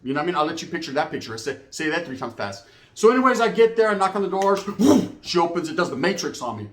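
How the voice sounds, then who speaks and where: A man's voice: on the high side, quick pace, roomy sound. An American man telling a story in a casual, slangy, comedic way, acting out both sides of conversations. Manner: animated raconteur, acts out both sides of conversations.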